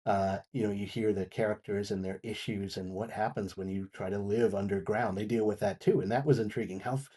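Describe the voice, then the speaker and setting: A man giving an unscripted review as a guest on a talk show, in halting, often repeated phrases. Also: fairly low pitch, steady tone, dark tone, husky. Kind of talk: unscripted audio drama review.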